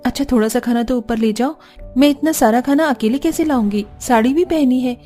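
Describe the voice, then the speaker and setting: A woman's voice: high-pitched, fairly quick, warm tone, faint music behind, natural voice. A woman playing a dutiful, exasperated young daughter-in-law in a cartoon story, weary and complaining yet compliant. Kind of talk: Hindi cartoon story dialogue.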